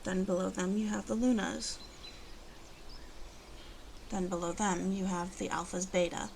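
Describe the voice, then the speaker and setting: A woman playing a protective, commanding female werewolf in an ASMR roleplay, talking slowly and deliberately to the listener in a soft, guarded way. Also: medium pitch, little pitch movement, vocal fry, slight background noise. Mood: matter-of-fact, explaining.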